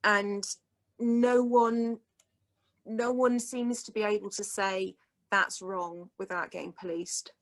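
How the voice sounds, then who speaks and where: A woman's voice: mid-range voice, flat delivery, slow pace. A woman speaking as a guest at an online talk, emphatic and indignant.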